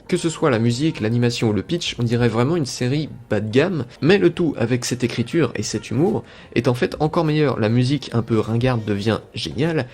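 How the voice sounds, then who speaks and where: A man's voice: medium pitch, a little mellow, husky. A young man giving a scripted, enthusiastic review as a video essayist. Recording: music playing under the voice. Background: background music.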